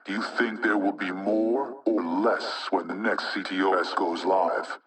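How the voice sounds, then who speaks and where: An actor playing an anonymous male hacktivist spokesman in a video game propaganda broadcast, speaking in a measured, rhetorical, insinuating way with menace. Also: low-pitched, steady tone, recorded from a distance, crowd noise. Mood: ominous, preaching.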